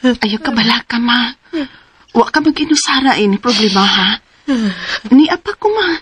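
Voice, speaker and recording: A woman's voice: a little high, lively intonation, moderate pace, a bit bright, slight background noise. An actress in a radio drama playing a determined adult daughter who speaks earnestly to her mother. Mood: reassuring. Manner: earnest, pleading, comforting.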